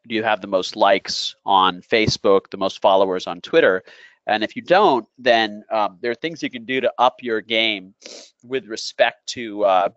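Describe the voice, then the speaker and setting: Guy doing a product webinar, lowish voice, kinda halting explainer, muffled lo-fi sound. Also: fairly bright tone. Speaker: company presenter giving a product webinar. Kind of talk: unscripted sales presentation. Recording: dull, lo-fi audio in an echoey room.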